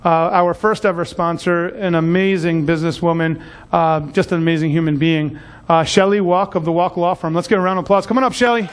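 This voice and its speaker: High-voiced enthusiastic male event host. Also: fairly even intonation, a little fast, a little hiss or hum. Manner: enthusiastic, lots of "uh" fillers. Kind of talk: introducing and interviewing a guest.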